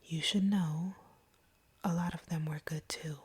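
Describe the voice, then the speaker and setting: A woman plays a female vampire with feelings for the hunter she faces. Her voice is extremely breathy and hushed, sitting a little low. She speaks in a deliberate, earnest whisper, as in an intimate audio roleplay, conflicted beneath her composure. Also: fairly even intonation, full character voice. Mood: wounded, resentful.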